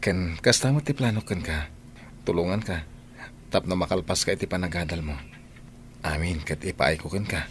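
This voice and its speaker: A man's voice: low voice, normal speed, pauses often, slight background noise, lightly acted. A man playing a devoted, protective young suitor in a radio drama. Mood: tender, reassuring. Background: loud music.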